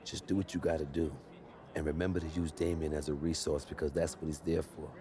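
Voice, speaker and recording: An actor playing a blunt American sports agent in a game, brusque and wry. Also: male voice, rumbling low, flat delivery, fairly quick, crowd noise. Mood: wry, businesslike. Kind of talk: naturalistic game cutscene acting.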